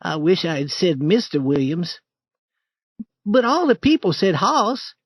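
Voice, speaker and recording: A man putting on a frightened, high young boy. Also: very animated, unhurried, breathy edge, no echo, studio quality, heavily acted. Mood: fearful.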